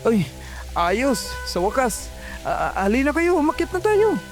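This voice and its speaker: A woman's voice: high-pitched, very animated, a little slow, a little mellow, faint music behind. A woman with a Filipino accent playing a chatty, nervous young call center agent in an audio horror drama, with stammering, colloquial speech. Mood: startled, relieved.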